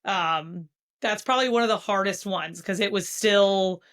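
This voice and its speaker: A woman's voice: mid-range voice, moderate pace, a bit bright. Candid woman telling stories as a guest. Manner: candid, earnest storytelling with many restarts. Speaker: guest, loan originator. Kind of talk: casual interview chat.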